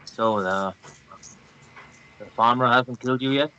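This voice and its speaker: A man's voice: medium pitch, measured pace, sharp tone, lo-fi sound, a little hiss or hum. An actor playing a grim male investigator in a screenplay read, giving grave, faintly menacing lines. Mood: grave, warning.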